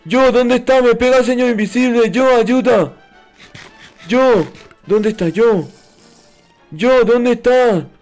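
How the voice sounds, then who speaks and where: High-pitched man playing a childlike gamer. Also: slow, pauses often, a little mellow, soft background music. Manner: childlike, naive, exclamatory. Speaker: gaming video host. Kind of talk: playful noob roleplay commentary over a video game.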